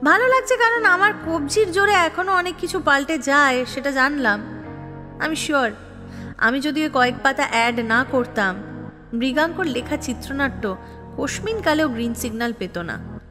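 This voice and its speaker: Actress as scheming lovestruck young woman, audio drama narrator. High voice, reverberant, exasperated and confiding. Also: animated, moderate pace. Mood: brooding, resolute. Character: scheming, proud, restless young woman in love, narrating her story. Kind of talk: dramatic first-person audio story narration. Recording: long reverb, music behind. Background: music.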